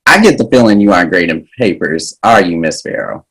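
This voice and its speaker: A man's voice: deep voice, sing-song, a little fast, creaky, a bit bright, husky, exaggerated voice, low-quality recording. A man reading the part of a cocky, mischievous teenage boy at a script table read, teasing and sarcastic. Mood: smug, teasing.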